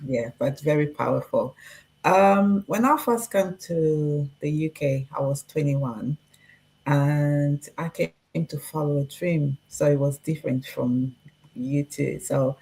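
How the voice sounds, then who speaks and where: A woman in her forties, from Portugal, telling her own story in a relaxed podcast chat with frequent pauses and fillers. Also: sits low, poor audio. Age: 40s. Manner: reflective, laughs easily, many fillers. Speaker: guest. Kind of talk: casual podcast conversation.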